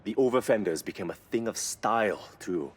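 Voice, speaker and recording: Male car mechanic, game cutscene, earnest, admiring, husky, animated melody. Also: lowish voice, brisk. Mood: awed, nostalgic. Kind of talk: video game cutscene voice acting.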